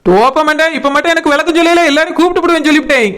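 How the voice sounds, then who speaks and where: A woman voicing an aggrieved, insistent village woman in a cartoon comedy, with a Kanyakumari Tamil accent and a pitched-up voice. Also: very fast, fairly bright tone. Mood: insistent, annoyed. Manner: accusing, insistent, name-calling. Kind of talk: animated village comedy dialogue.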